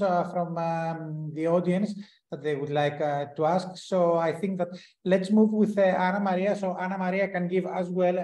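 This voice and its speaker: A man moderating a webinar, halting with many fillers. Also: on the high side, a little mellow, reverberant.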